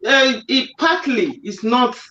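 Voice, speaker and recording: Slow-speaking woman guest. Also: high voice, expressive, bright, forceful tone. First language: Yoruba. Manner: hesitant, with fillers and restarts. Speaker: guest, actress and presenter. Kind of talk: casual video-call interview. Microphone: remote call audio. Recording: roomy, dull remote call audio.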